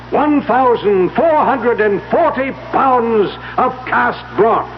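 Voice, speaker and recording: An actor playing a grandiose stage magician in an old radio drama, addressing the house with deliberate, theatrical showmanship. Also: male voice, very high voice, full character voice. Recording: muffled vintage radio recording, faint hiss.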